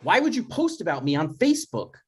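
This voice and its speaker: A man playing an anxious, needy adult son in an informal comedy table read, speaking in an earnest, halting, exasperated way. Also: fairly high pitch, wildly swooping pitch, husky, exaggerated voice. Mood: defensive. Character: anxious, needy adult son seeking his dad's approval. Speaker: writer playing himself and reading stage directions.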